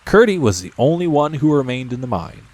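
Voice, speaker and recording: A man's voice: medium pitch, very animated, brisk, a little mellow. A man narrating a classic storybook as a scripted audiobook reading.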